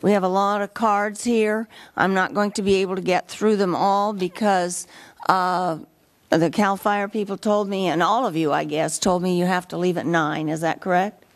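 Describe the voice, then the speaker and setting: A woman moderating a public meeting, calling up speakers in a brisk, practical way. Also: lowish voice, steady tone, dry recording. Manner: brisk, practical, gives instructions.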